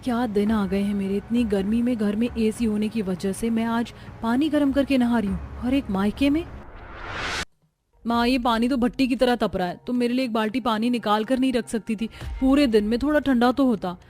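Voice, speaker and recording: Woman voicing a high-pitched young cartoon bride. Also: normal speed, a little mellow, a little hiss or hum, close to an everyday voice. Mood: musing. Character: cheerful young new bride, grateful, marvelling at her home. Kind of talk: Hindi cartoon story voicing.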